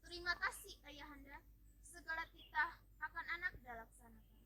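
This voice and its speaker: A woman's voice: very high-pitched, normal speed, exaggerated voice. A teenage girl playing an eager, respectful young crown prince in an amateur folktale drama, with formal, deferential speech. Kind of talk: amateur school storytelling drama. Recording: loud noise around the voice.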